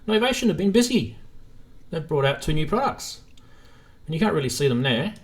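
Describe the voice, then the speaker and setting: A man's voice: a little high, very animated, fairly quick. A man hosting a live stream in a casual, informal chat, thinking aloud as he goes.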